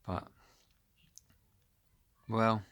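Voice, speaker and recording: A man with a deep voice chatting casually to his phone camera at home, rambling and losing his thread with plenty of ums. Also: extremely slow, fairly bright tone. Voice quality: low, deep voice. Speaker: vlogger. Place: at home, upstairs room. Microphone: phone camera held close.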